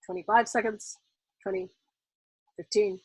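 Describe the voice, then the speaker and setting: A woman's voice: medium pitch, steady tone, measured pace, breathy edge, no room sound, poor audio. A woman voicing an earnest, oblivious male major in a storybook, calling out in a precise military manner. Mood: earnest, intent. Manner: calling out a countdown.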